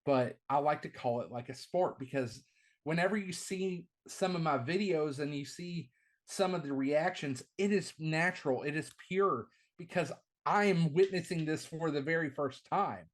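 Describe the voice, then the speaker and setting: A middle-aged man, a wrestling commentator and ring announcer, talking in a casual podcast interview with enthusiasm, often repeating words as he starts a phrase; his voice is not deep but it carries. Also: moderate pace.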